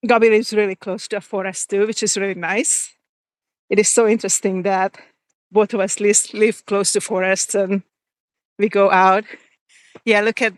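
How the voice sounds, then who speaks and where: A woman's voice: medium pitch, clean tone, no room sound. A woman chatting casually on a live video, walking outdoors in a forest and reading viewer comments as she goes. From Hungary. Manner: chatty, reads viewer comments aloud, pauses and restarts. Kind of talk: casual live-stream chat while walking.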